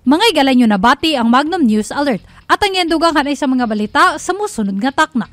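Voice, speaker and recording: A woman's voice: high voice, lively intonation, quick pace, fairly bright tone, no echo. A woman with a Filipino accent reading a scripted radio news bulletin as the anchor.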